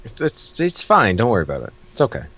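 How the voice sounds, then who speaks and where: A man chats casually as a joking co-host on a comic read-along. His voice sits a little low but is bright, with very animated swings in pitch. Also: fast.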